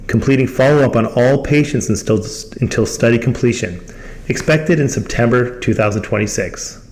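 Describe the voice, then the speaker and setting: A man's voice: medium pitch, moderate pace, a little mellow. A man reading a scripted company presentation in a formal manner as the host. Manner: formal, reading from prepared text.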